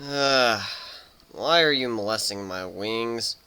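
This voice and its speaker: A man's voice: medium pitch, slow, pushed and bright, smooth. A man playing a grumpy young male pegasus pony who mumbles and protests, in a cartoon fan fiction reading. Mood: groggy, grumbling.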